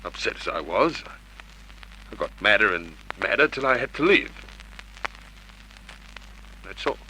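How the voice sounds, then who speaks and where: Hot-tempered male suspect husband, mid pitch, animated and defensive, noisy old radio recording. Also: unhurried, heavily acted. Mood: defensive, upset. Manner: defensive, agitated. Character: hot-tempered, upset husband under suspicion. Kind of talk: Golden Age radio drama acting.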